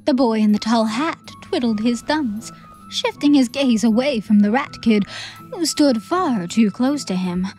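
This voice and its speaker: A woman's voice: a little high, lively intonation, measured pace, a little mellow, soft background music. A woman reading scripted fantasy storybook narration as an audiobook narrator.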